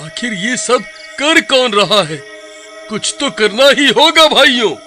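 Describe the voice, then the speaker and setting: A man voicing a simple, excitable village man in a cartoon story, animated and folksy, with a rustic eastern Hindi accent. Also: extremely high pitch, slow pace, loud music behind the voice, pitched above the performer's own voice, heavily acted. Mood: worried. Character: simple, excitable male Indian villager, easily alarmed.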